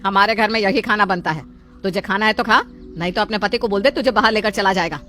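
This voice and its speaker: A woman's voice: medium pitch, fast talker, sharp tone, faint music behind, natural voice. A woman voicing an indignant, long-suffering older mother-in-law in a moral story, scolding with sarcastic retorts. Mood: scornful.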